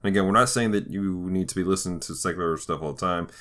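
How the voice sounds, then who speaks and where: Low-voiced man, thoughtful podcast host. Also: quick pace, fairly bright tone. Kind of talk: conversational podcast discussion of faith and pop culture.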